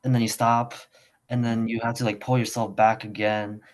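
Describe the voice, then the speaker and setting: A man's voice: on the low side, little pitch movement, normal speed, a little hiss or hum. A young man, a student whose first language is Khmer, talking casually in a rambling way, full of fillers and restarts. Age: young adult. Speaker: student guest. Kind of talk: casual conversation.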